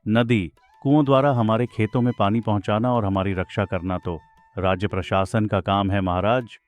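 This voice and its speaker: An actor playing a clever, confident village farmer, respectful but bold, in an animated moral story. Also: male voice, lowish voice, moderate pace, faint music behind, close to an everyday voice. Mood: politely cunning.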